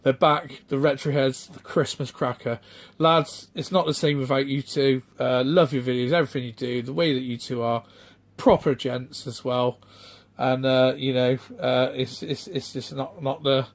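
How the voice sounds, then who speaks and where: A middle-aged man, chatting off the cuff in a rambling, halting way full of ums and restarts. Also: medium pitch, fairly even intonation. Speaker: retro game collector vlogger. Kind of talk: casual off-the-cuff vlog chat.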